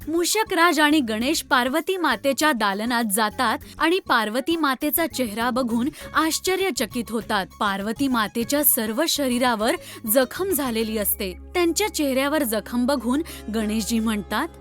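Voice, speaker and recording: A woman's voice: sits high, expressive, normal speed, hardly any pauses, fairly bright tone, faint music behind. A woman narrator reading a scripted story for children.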